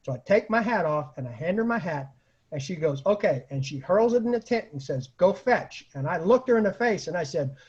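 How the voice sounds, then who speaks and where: A man tells funny personal stories in a loose, conversational style. His voice sits high and swings widely in pitch, with a rough husky grain. He acts out quoted remarks as he goes, and the homemade recording sounds a little dull. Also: a little fast. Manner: animated, acts out quoted lines, laughs at own stories. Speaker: storyteller, online show host. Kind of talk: casual comic anecdote telling.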